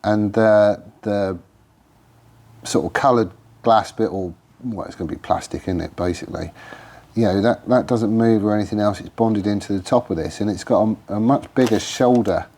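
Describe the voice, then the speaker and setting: A man's voice: lowish voice, normal speed, warm tone. A man, congested and losing his voice from a cold, chatting casually about a motorbike frame build while hosting his channel. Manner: rambling and informal, with frequent ums and trailing restarts. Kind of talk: casual workshop chat to camera. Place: home garage workshop.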